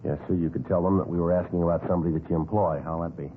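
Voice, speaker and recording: Deadpan, courteous police detective played by a man, low and very fast, for an old radio crime drama. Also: close to an everyday voice. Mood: calm, firm. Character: polite, businesslike male police detective questioning calmly. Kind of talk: understated 1950s radio acting. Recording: tinny, noisy vintage radio recording.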